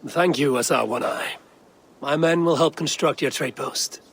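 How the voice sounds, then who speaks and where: Terse Viking leader, slightly high-voiced. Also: male voice, normal speed, gravelly, slightly rough, exaggerated voice. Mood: confident. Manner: terse, plain-spoken, steady. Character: blunt, confident Viking warrior leader, plain-spoken. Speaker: Viking clan leader character. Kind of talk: dramatic video game acting.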